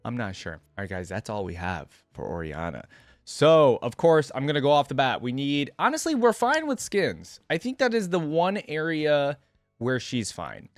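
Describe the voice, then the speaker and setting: A man hosting a reaction video with casual, informal commentary, rambling and thinking out loud. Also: mid-range voice, big pitch swings, normal speed.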